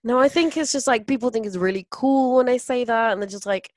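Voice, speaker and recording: A woman's voice: a little high, a little fast, darkish tone, no echo, clean studio sound. A woman hosting a podcast in casual chat, talking with loose restarts and frequent laughs, with a slight hay fever cough. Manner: chatty, laughs often, trails off. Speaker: podcast host.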